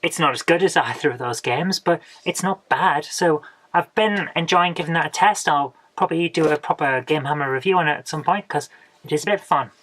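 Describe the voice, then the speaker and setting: A woman, hoarse from a coming cold, hosting a casual retro game haul video. She rambles chattily and often ends with tag questions. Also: sits low, brisk, fairly bright tone. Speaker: retro gaming channel host.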